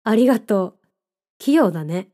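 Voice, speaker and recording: A woman playing a gentle, dreamy young narrator in a scripted radio drama, with a soft, reflective storytelling manner. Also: mid-range voice, animated, normal speed, natural voice. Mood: warm, curious.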